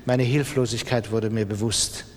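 An actor playing a desperate gambler pleading by letter in a radio play, with an urgent, agitated, insistent manner. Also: male voice, fairly low pitch, fairly even intonation, normal speed, lightly acted. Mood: shaken, despairing. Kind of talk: literary radio play monologue, letter reading. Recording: long reverberant hall.

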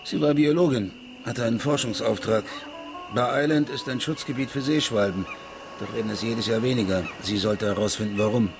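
A troubled male ex-detective played by an actor in a radio crime drama gives terse, defensive replies weighed down by guilt. His voice is of medium pitch and brooding. Music is clearly audible underneath. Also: fairly even intonation, brisk, natural voice. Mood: subdued, matter-of-fact. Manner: terse, clipped recollection. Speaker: client being questioned.

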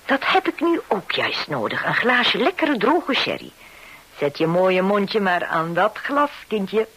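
A motherly, worldly-wise lady of the house in an old radio drama. Her voice is slightly husky and swings animatedly as she chats and soothes. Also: medium pitch, big pitch swings, measured pace, fairly bright tone, full character voice. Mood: soothing, motherly.

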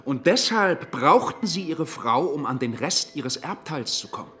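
An actor playing a stern, accusatory lawyer in a radio courtroom drama, speaking in formal, pointed rhetoric. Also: male voice, on the high side, animated, unhurried, a bit bright. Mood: accusing, scornful. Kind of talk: German radio play acting.

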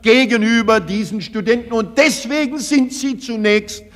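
A man's voice: very high voice, moderate pace. An older man giving an emphatic, oratorical speech with rhetorical tags and repetitions. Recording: archive recording with long hall reverb.

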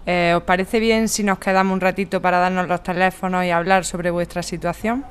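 A woman playing a calm, practical veteran housing activist in a radio drama, explaining things in an organised way. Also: on the low side, fairly quick, smooth, lightly acted. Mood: calm, helpful. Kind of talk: amateur radio drama acting.

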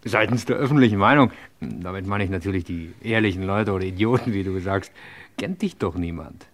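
A man's voice: sits low, a little fast, natural voice. An actor playing a chummy, nervously loyal henchman in an old German crime radio play. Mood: protesting, uneasy. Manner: chummy, chuckling, protesting. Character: eager, ingratiating gangster henchman, nervously loyal to his boss.

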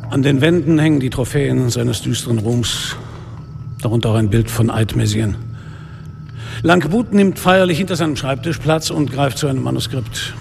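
An actor playing a world-weary, bitter police inspector who narrates a radio drama in a grave, sardonic manner. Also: male voice, mid-range voice, moderate pace, darkish tone, a little hiss or hum. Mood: bitter, observant. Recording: long reverb, clear music behind.

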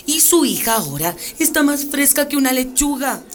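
A woman's voice: high voice, very animated, moderate pace, slight background noise. An actress playing a sanctimonious, gossipy, pious church lady in a radio drama, accusing and melodramatic.

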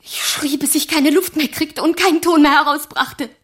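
An actress playing a traumatized, bitter woman confiding in an old friend in a German audio drama, breathy and halting, often slipping toward a whisper. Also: sits high, steady tone, natural voice. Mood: anguished.

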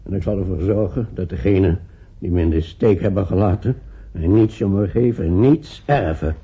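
An actor playing a lonely, sick old gentleman in a radio drama. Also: deep voice, normal speed, breathy edge, heavily acted. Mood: earnest, bitter. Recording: tinny, narrow-band old radio recording.

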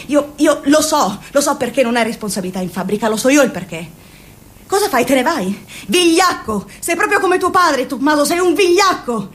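A woman's voice: high-pitched, lively intonation, moderate pace, a bit bright. An actress playing an overbearing mother who turns from bitter scolding to doting, in a radio play. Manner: dramatic, swings from scolding to cooing. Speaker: mother of two grown children.

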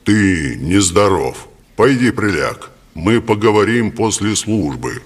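A man voicing a stern, preachy village priest with a booming voice and solemn, admonishing delivery in an audiobook. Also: sing-song, slow pace, breathy edge, heavily acted. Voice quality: booming bass.

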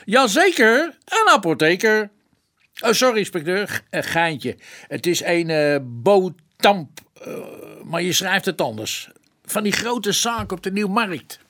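An eager police sergeant in a radio play. His voice is high and bright with big swings, cheeky and informal, over faint music. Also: unhurried, heavily acted. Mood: cheeky, excited. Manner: excitable, jokey, informal. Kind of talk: radio drama acting.

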